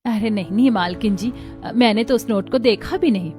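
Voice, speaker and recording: A woman's voice: a little high, moderate pace, darkish tone, soft background music, natural voice. A woman playing a poor, earnest mother who works as a housemaid, speaking respectfully and pleadingly in a moral story. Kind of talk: dramatized Hindi moral story acting.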